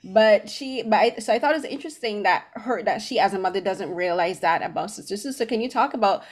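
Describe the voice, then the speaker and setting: A woman's voice: medium pitch, animated, quick pace. A middle-aged woman hosting a film interview in a conversational style over a video call. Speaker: interview host. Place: small room at home. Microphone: computer mic on a video call.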